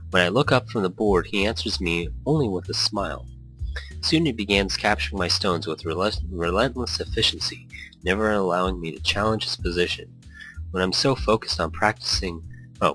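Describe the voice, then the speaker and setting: Male let's-play host, very low and crisp-edged, casual rambling commentary mixed with story narration over soft background music. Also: poor audio. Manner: rambling asides. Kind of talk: casual commentary and reading visual novel text aloud.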